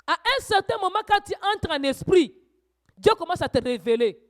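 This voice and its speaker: A woman preacher teaching a church sermon, swinging from quiet asides to loud, urgent exhortations. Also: very high voice, big pitch swings, moderate pace.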